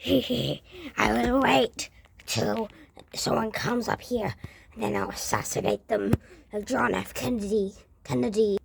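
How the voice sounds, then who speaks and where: A woman doing a creaky, sinister evil toy monkey. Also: mid-range voice, noisy background. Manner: slow, sinister, gloating. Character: menacing evil alter ego of a toy monkey.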